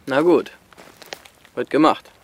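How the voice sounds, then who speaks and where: A man's voice: medium pitch, animated, slow pace, slightly rough. A man playing a brusque adult smuggler in a radio drama, giving curt instructions. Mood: grudging agreement. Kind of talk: naturalistic radio drama acting.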